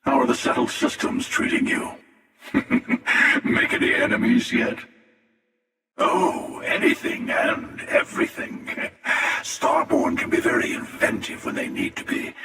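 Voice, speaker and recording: A man voicing a cynical, world-weary hunter in a video game, gravelly, sardonic and theatrical, with amused menace. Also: mid-range voice, wildly swooping pitch, measured pace, a bit bright, heavily acted. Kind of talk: scripted video game character dialogue. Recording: cavernous echoing reverb, distant.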